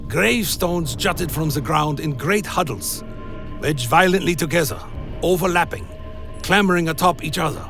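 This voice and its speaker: A man playing a brooding vampire who tells his tale in a grave, deliberate, dramatic way, as scripted gothic radio play narration. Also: a little high, creaky, fairly bright tone, exaggerated voice. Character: Alaric the Damned, brooding male vampire telling his tale. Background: music.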